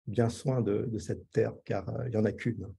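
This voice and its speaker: A man speaks reflectively as a webinar guest. His voice is low, very dark and husky, and it sounds distant through video call audio. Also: fairly even intonation, moderate pace. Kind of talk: webinar remarks.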